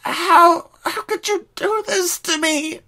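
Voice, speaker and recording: Male reader as young cartoon mare victim: high-pitched, frantic, halting, crying in pain. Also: creaky, bright, forceful tone, exaggerated voice. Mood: sobbing betrayal. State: crying, hiccuping. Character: terrified, pained young female pony, pleading victim.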